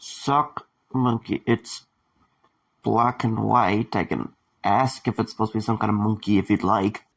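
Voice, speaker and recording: An actor playing a weary, sarcastic man under attack in an audio drama, talking in a dry, halting way. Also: on the low side, steady tone, gravelly, a bit bright, full character voice. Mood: dry sarcasm.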